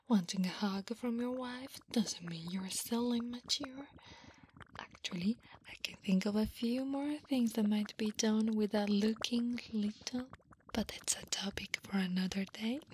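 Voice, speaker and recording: A woman playing an affectionate, teasing slime-monster wife in an intimate, breathy ASMR roleplay whisper. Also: a little high, a little hiss or hum, close to an everyday voice. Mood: reassuring. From Italy. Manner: slow, intimate, soothing.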